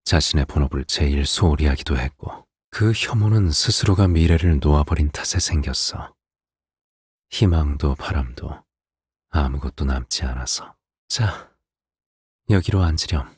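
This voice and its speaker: A very low, husky father figure from a video game. He speaks softly and deliberately, as if reading a storybook to his child. Beneath the gentle coaxing sits a controlling, quietly menacing temper. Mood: calm reproach. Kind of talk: scripted game voice acting.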